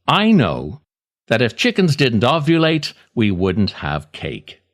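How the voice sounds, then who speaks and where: A middle-aged man reading a scripted comic monologue as a podcast host, in a wry, self-deprecating way with theatrical pauses. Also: medium pitch, wildly swooping pitch. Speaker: podcast host, writer reading own essay.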